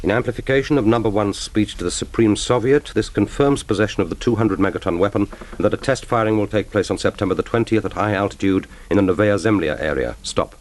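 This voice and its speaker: A cool British secret agent, played by a man in a radio drama. The voice is deep, and the delivery is terse, formal and composed, as befits a naval commander. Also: fast, few pauses, lightly acted. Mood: flat reading.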